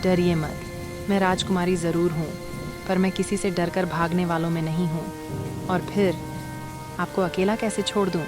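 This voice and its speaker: A woman's voice: fairly low pitch, steady tone, normal speed, loud music behind the voice, lightly acted. A woman playing a brave, kind-hearted young princess in a cartoon story, speaking earnestly. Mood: brave, reassuring. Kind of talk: Hindi animated moral story dialogue.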